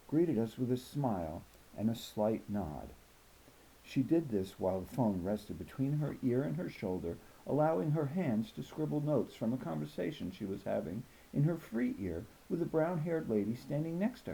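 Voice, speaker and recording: A bookish, observant fifth-grade girl, voiced by a man tells a children's novel in careful, thoughtful sentences, a little nervous. The tone is very mellow and soft, with some creak. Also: mid-range voice, poor audio. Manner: precise, wordy, thoughtful. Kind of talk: first-person children's novel narration.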